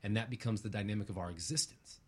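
A man's voice: fairly low pitch, fast talker, a little mellow, breathy edge. A man speaking as an interview guest in a reflective, earnest way, using abstract spiritual phrasing and often checking for agreement.

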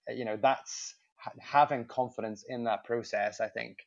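Gravelly man, measured reflective talk. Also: mid-range voice. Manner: measured, repeats words while thinking. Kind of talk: reflective discussion. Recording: slightly muffled lo-fi audio.

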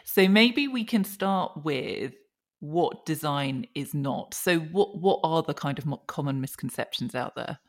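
A woman with a fairly low voice hosting a company interview podcast. She introduces her guests, asks them questions, and encourages their answers. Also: expressive, measured pace. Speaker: podcast host.

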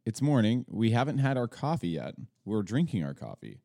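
A man hosting a podcast in a casual morning chat, groggy and halting, laughing often and restarting his phrases. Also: fairly low pitch, huge pitch swings, creaky, dark tone, dry recording, studio quality. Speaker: podcast host.

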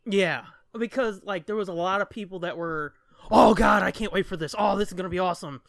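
A man's voice: sits high, fairly quick, gravelly, fairly bright tone, no echo, studio quality. A man co-hosting a podcast in casual banter, joking and crude with plenty of swearing. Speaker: podcast co-host.

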